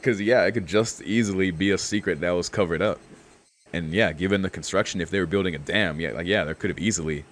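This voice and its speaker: A man's voice: sits low, fast, fairly bright tone. A young man giving casual game commentary as a streamer, musing in a laid-back, slangy way and reading text aloud. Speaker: streamer playing a visual novel.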